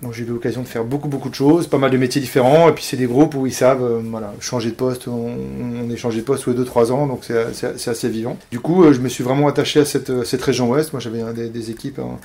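A man in his forties from Paris, speaking as an interview guest and pausing often on fillers and restarts. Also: medium pitch, fairly even intonation, warm tone. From Paris, France. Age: 40s. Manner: hesitant, many fillers and restarts. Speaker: interview guest, company founder. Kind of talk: casual interview talk.

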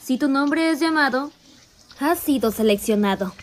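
A woman voicing a haughty, harsh female animal army commander in an animated fan dub, speaking with contempt. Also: sits high, moderate pace. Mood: commanding.

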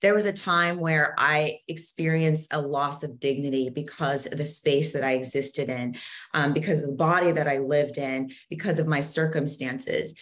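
A middle-aged woman, speaking deliberately and earnestly as an interview guest explaining things on a video call. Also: sits low, fairly even intonation, fairly bright tone. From born in Zambia, moved to the United States at age four. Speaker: guest author and co-host. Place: home office with bookshelves, indoors.

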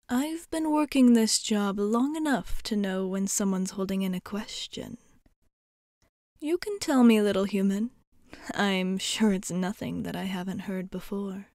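Slow, teasing vampire bartender played by a woman. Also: medium pitch, darkish tone, no echo, clean studio sound. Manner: measured, formal, teasing, breaking into whispery breathiness. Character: poised, coaxing female vampire bartender, amused and worldly. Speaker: ASMR roleplay performer. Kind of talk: ASMR roleplay.

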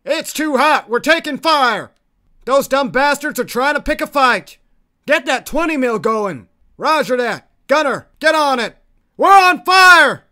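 A man voicing a gung-ho military vehicle crewman for game unit lines, in a clipped, brisk delivery. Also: sits high, animated, sharp tone. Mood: urgent shouting.

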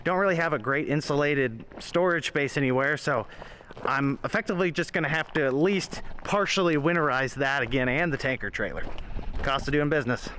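A man's voice: on the high side, brisk, noisy background. A man from Central Illinois, a farmer narrating a casual vlog with dry, wry asides. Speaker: farmer vlogger.

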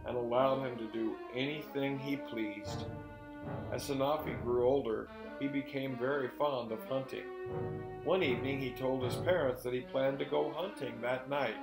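A middle-aged man narrating a folktale in a deliberate, emphatic, theatrical storyteller's delivery. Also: medium pitch. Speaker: storyteller and presenter. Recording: roomy echo with music behind.

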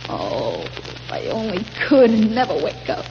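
An actress playing a suffering, desperate schoolboy, plaintive and impassioned, in 1930s radio acting. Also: female voice, medium pitch, huge pitch swings, unhurried, big-room sound. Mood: anguished. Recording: muffled vintage radio, faint hiss.

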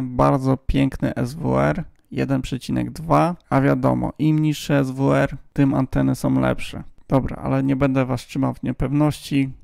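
A man's voice: medium pitch, creaky, darkish tone, no room sound, studio quality. A man giving a casual, playful, tongue-in-cheek explainer voice-over as a hobbyist video host.

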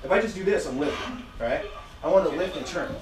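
High-voiced man teaching in an echoey dojo. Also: animated, fairly quick. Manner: loose, laughing, demonstrating moves with sound effects. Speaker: martial arts instructor. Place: large indoor training room with mats. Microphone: fixed camera high in a corner, far from the speaker. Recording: echoey room, voice from far off.

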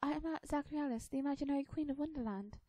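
A woman's voice: sits high, very fast, mellow, dry recording, pitched above the performer's own voice, natural voice. A woman voicing an imaginative seven-year-old girl in a storybook, childlike, dreamy and emphatic.

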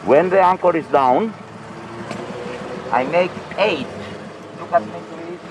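A man's voice: on the high side, slow. A middle-aged man from Corfu, a boat rental captain, giving short, clipped instructions in non-native English on an open boat at sea. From Corfu, Greece. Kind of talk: casual instructions. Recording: noisy outdoor boat audio.

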